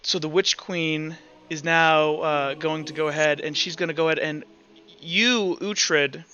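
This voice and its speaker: A man narrating a tabletop roleplay game as game master, telling the story in halting, deliberate phrases. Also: a little high, steady tone, bright, forceful tone, lo-fi sound.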